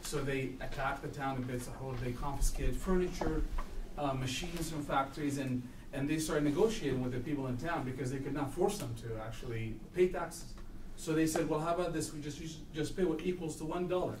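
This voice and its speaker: Fast-talking man from Palestine, distant and echoey. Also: on the high side. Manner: earnest, halting with many restarts. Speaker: audience member speaking at a talk. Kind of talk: public Q&A comment. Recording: echoey room, distant mic, noisy.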